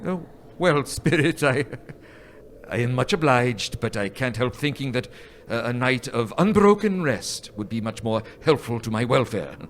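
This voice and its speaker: A man's voice: mid-range voice, animated, moderate pace, close to an everyday voice. A man acting a nervous, sentimental old miser in a live radio play, stammering and repeating his words. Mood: nervous, pleading. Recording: live stage recording with background noise.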